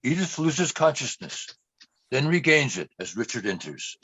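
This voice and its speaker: Male narrator, husky mid-pitched voice, scripted radio play narration, dry and muffled lo-fi sound. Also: big pitch swings, moderate pace, a bit bright, dry recording. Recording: dull, dry lo-fi recording.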